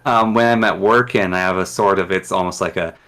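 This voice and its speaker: A man hosting a casual conversation, talking in a relaxed, reflective way. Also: deep voice, fairly quick. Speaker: podcast host.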